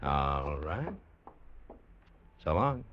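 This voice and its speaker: A man's voice: a bass voice, sing-song, drawn-out words, exaggerated voice. An American actor playing an easygoing, wry barnstorming pilot who tells his story in casual slang in a 1940s radio drama. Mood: friendly, casual. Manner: casual, colloquial, folksy slang. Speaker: actor and narrator. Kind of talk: 1940s radio drama acting with first-person narration. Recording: dull vintage radio recording with loud music.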